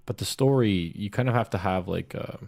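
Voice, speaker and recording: A man's voice: lowish voice, fairly quick, gravelly, darkish tone. A man co-hosting a casual chat, thinking aloud and often posing questions. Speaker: show co-host.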